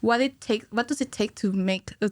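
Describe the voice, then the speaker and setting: A woman's voice: fairly high pitch, normal speed, no room sound. A young woman speaking casually and chattily as a podcast guest, close to a studio microphone. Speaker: podcast guest, cinematic animator. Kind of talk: casual conversational interview. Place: small curtained podcast studio. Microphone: studio microphone on stand, close.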